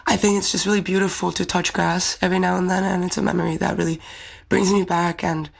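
A woman's voice: fairly low pitch, flat delivery, quick pace, gravelly. A young woman from the Czech Republic, a pageant winner answering interview questions in a thoughtful, earnest way. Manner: thoughtful, earnest, fluent English speaker.

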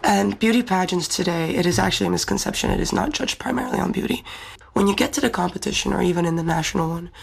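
Young woman with gravelly, husky voice. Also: lowish voice, fast, poor audio. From Czech Republic. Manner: thoughtful, earnest, fluent English speaker. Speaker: beauty pageant winner. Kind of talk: interview answers.

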